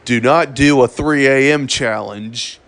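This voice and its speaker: A man narrating a scripted emergency broadcast in a formal, solemn and deliberate manner. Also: medium pitch, a bit bright. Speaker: emergency alert announcer.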